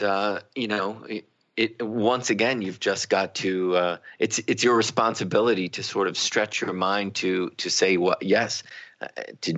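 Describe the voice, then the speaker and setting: A man co-hosting a radio discussion in a conversational, reflective way, feeling out his thoughts haltingly. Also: sits low, fairly bright tone. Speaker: radio show co-host. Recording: slightly dull lo-fi radio audio.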